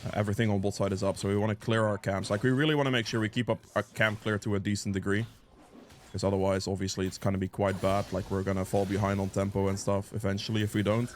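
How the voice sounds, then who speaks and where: A man's voice: fairly low pitch, little pitch movement, fast, a little hiss or hum. A man giving casual live commentary on a video game as he plays, thinking aloud and reacting as he goes, sniffly with a cold. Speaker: gameplay guide creator and player.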